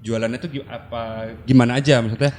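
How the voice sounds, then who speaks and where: A man's voice: medium pitch, fairly even intonation, fast talker, a little mellow. A man co-hosting a casual podcast, asking rambling, casual questions. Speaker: podcast co-host. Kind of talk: casual chat.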